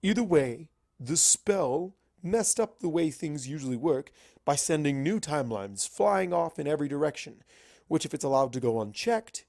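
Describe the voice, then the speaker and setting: Narrator as old male wizard pony, gruff, cynical, emphatic, creaky voice, storybook character. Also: mid-range voice, animated, moderate pace, a little mellow, no echo, clean studio sound. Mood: lecturing. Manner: emphatic, scoffing, lecturing.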